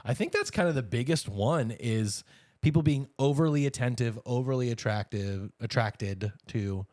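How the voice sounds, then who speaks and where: A man's voice: medium pitch, animated, normal speed, darkish tone, no echo, clean studio sound. A man in his thirties co-hosting a podcast in a casual, playful chat, with a jokey, bantering way of talking. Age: 30s. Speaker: podcast co-host.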